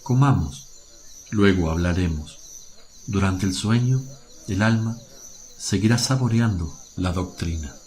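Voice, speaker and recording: An actor playing a calm, solemn spiritual master with gentle authority in a religious radio drama. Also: male voice, deep voice, a little slow, long pauses. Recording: faint noise, birds and crickets behind.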